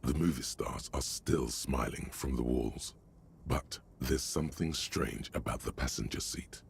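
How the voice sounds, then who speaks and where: A man voicing the omniscient narrator of a detective video game, delivering scripted descriptions in a slow, weighty way. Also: rumbling low, vocal fry, slight background noise, heavily acted. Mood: calm.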